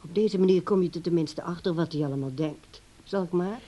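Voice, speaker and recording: A woman's voice: lowish voice, fairly quick, warm tone, close to an everyday voice. A woman playing a critical, calculating grown-up daughter in a radio play. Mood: disdainful, determined. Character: critical, calculating adult daughter of an old man. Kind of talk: Dutch radio play acting.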